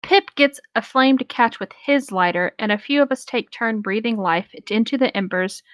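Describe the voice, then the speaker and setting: A woman's voice: fairly high pitch, moderate pace, dry recording. A woman casually reading a first-person story aloud and chatting between lines. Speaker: co-reader of an internet story.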